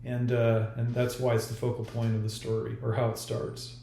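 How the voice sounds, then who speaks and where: Male author, podcast guest, mid-pitched voice, thoughtful explainer, roomy echo on the recording. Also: monotone, moderate pace, gravelly, warm tone. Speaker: author being interviewed. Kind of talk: podcast interview about a novel. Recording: roomy, echoey sound.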